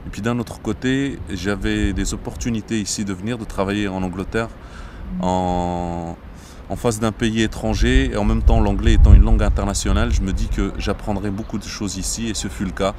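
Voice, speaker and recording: A man in his thirties from Morocco, a border police captain, speaking in a measured interview with frequent hesitations. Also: fairly low pitch, fairly even intonation, noisy background. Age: 30s. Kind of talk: interview monologue. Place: outdoors near traffic.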